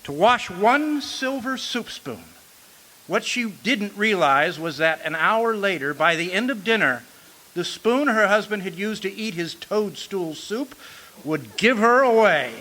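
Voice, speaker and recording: A man's voice: sits high, sharp tone, full character voice, rough recording. A man playing a folksy homicide sergeant in an amateur radio mystery, matter-of-fact and musing with hems and haws. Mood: explaining. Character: brisk, folksy male police homicide sergeant in charge. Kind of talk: amateur old-time radio detective acting. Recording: light hiss and background noise.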